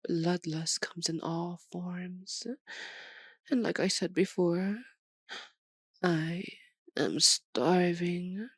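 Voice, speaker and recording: Woman acting as a slow, low-voiced sadistic vampire mistress. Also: no room sound. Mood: teasing, hungry. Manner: slow, theatrical, silky and menacing, often dropping to a whisper. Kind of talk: ASMR roleplay voice acting.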